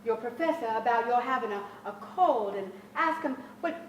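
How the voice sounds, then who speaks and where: A woman's voice: fairly high pitch, animated, normal speed, reverberant, distant mic, a little hiss or hum, close to an everyday voice, poor audio. An actress playing an anxious, overbearing middle-aged mother in a staged drama, theatrical, fretful and emphatic. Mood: wounded, accusing. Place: large theatre stage with audience, indoors.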